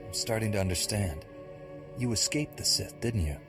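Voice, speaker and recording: Male Jedi master man acting: game drama, lower register, composed, resolute, protective. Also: expressive, normal speed, over music, close to an everyday voice. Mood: gentle probing. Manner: composed, matter-of-fact.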